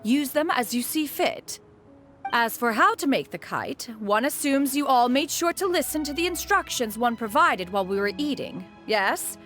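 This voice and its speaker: Game mentor: dignified, haughty female adept, animated pitch, music faintly behind. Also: normal speed. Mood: imperious. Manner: pompous, formal, refers to self as "one". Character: haughty, dignified immortal bird sage, caring mentor.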